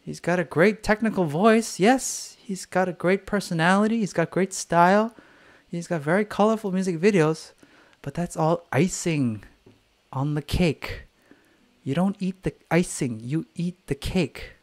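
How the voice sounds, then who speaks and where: A man's voice: high-pitched, measured pace. Candid, swearing man on a livestream. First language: English. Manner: candid, swears freely, acts out voices of others. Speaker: singer-songwriter. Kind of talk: live stream chat.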